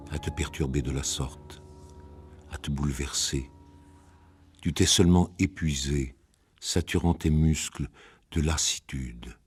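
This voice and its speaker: A man reading ancient epic poetry aloud in a solemn, measured, declamatory way. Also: very deep voice, dark tone, breathy edge.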